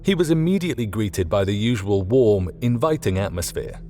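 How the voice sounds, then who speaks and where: A man's voice: on the low side, animated, fairly quick, dark tone. A man reading scripted fiction as an audiobook narrator. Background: faint music.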